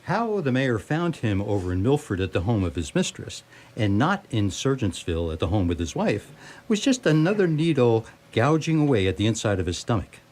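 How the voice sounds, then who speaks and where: A man's voice: mid-range voice, big pitch swings, fairly quick, darkish tone, a little hiss or hum. A man narrating a scripted radio drama as storyteller.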